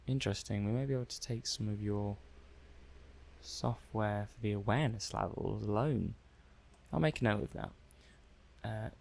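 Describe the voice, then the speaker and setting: ASMR roleplay casting: man as intrigued robot-testing technician, low gravelly fry, hesitant and musing. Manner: hesitant, musing, thinking aloud while taking notes. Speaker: roleplay performer. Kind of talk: ASMR roleplay, soft-spoken improvised monologue.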